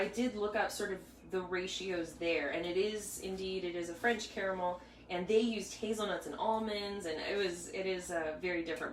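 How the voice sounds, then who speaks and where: A young woman hosting a live bake-along, talking casually while she stirs a pot, with lots of restarts and side stories. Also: fairly low pitch. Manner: chatty, rambling, with many restarts and asides. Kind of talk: casual live cooking demo. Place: home kitchen. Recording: echoey kitchen, mic far off, faint hum.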